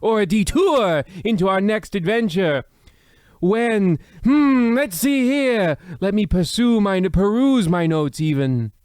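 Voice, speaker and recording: A slow, pompous anthology host played by a man, with a slightly high voice and dry, close sound. He rambles theatrically and testily, parodying old mystery radio. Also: expressive, a little mellow, smooth, dry recording. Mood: testy, whimsical. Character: sleepy, pompous male horror-anthology narrator, testy and rambling.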